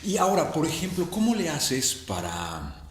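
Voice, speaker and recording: A man interviewing casually, feeling his way through questions with repeated words and pauses. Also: fairly high pitch, wildly swooping pitch, crowd noise. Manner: halting, searching for words with repetitions and pauses. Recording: roomy echo with faint background noise.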